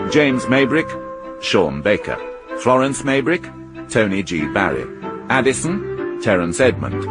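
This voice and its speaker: A man working as a radio announcer, reading scripted credits and introductions in a formal, deliberate way. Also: medium pitch. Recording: loud violin music behind voice. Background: loud violin music.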